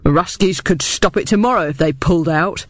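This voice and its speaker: A man voicing a belligerent war veteran cafe owner in an audiobook, with a gruff, gravelly voice and blunt manner. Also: on the high side, steady tone, takes it slowly, darkish tone, no room sound. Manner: blunt, muttering, colloquial.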